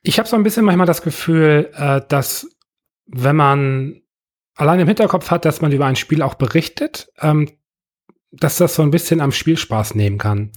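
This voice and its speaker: Hesitant German-speaking interviewer, many fillers. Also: male voice, on the high side, animated, moderate pace. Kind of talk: casual interview.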